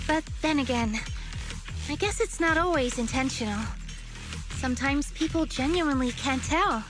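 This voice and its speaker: A very high, youthful voice acted by a woman carries this gentle game heroine. She explains things in an earnest, thoughtful way, with a slight hesitancy. Music plays clearly behind her. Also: normal speed, lightly acted. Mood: somber, thoughtful. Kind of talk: video game voice acting.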